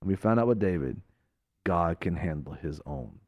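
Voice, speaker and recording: Fervent preaching man, lively pitch. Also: moderate pace, highs cut off, very noisy. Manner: fervent, emphatic, swings between loud and hushed. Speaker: radio show host and preacher.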